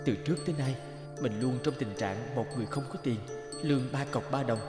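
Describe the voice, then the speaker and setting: A man's voice: medium pitch, brisk, over loud music, lightly acted. A man voicing an earnest, anxious young suitor in a cartoon, speaking a little hesitantly. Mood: worried musing. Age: young adult. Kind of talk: cartoon voice acting.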